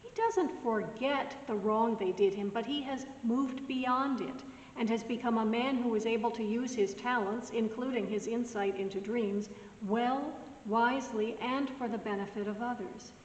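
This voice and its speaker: Woman pastor, soft-spoken sermon, long hall reverb, mic set back. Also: a little high, normal speed, a little hiss or hum. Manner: soft-spoken, gently conversational. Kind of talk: recorded weekly sermon message. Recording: roomy echoing hall, mic at a distance.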